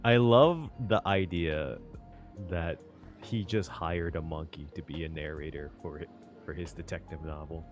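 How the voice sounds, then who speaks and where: A man's voice: sits low, unhurried, dull audio. A man giving casual, amused reaction commentary as he plays a story game. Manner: amused, informal musing. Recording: faint game music behind.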